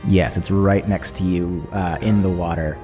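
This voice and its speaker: Very deep-voiced man running a tabletop game. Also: fairly even intonation, normal speed, mellow, faint music behind. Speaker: game master. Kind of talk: narrating a tabletop roleplaying game.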